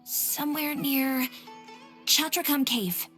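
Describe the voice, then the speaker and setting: A woman's voice: sits high, takes it slowly, pushed and bright, heavily acted. An actress playing a laid-back, sleepy young woman, an unbothered bystander in a video game. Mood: helpful, hesitant. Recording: game music behind the voice.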